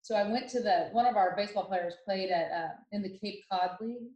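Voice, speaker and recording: A young woman from Ohio, a podcast guest telling her story in a chatty, animated way with many fillers and restarts. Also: medium pitch, a little fast, highs cut off. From Ohio, USA. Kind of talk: casual storytelling interview. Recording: roomy echo.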